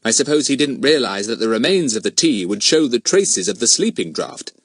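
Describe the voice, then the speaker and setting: A man voicing a confident, self-pleased schoolboy leader in a children's storybook, brisk and instructive. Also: medium pitch, very animated, slightly rough, dry recording, studio quality. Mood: explaining.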